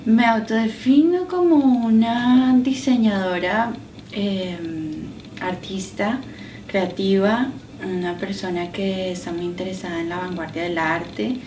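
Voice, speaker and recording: A woman in her mid-thirties with a Colombian accent answers interview questions about herself, pausing between short phrases. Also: medium pitch, darkish tone. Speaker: artist and designer being interviewed. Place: indoors in a penthouse. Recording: roomy, distant mic, clear background noise.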